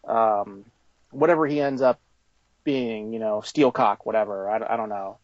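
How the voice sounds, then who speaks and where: A man answering informally as an interviewed toy designer, halting as he thinks aloud, on a slightly lo-fi recording. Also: fairly low pitch, no echo.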